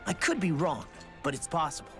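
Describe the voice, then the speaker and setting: An actor voicing a brash, cocky young male fighter in a video game, with a casual, excitable, boastful way of talking. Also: fairly high pitch, brisk, close to an everyday voice. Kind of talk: dramatic video game voice acting. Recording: game music under the dialogue.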